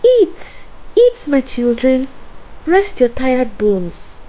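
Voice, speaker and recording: A woman voicing a sinister old witch in a storybook tale, cloying and drawn-out, shifting between coaxing and menace. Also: sits high, big pitch swings, dark tone, voice pushed up, exaggerated voice, poor audio. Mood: falsely sweet.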